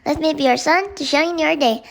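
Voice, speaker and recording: A young-sounding woman with a high, bright voice records a playful voice message. She is bubbly and laughs easily between lines. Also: brisk, gravelly. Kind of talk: voice message clip.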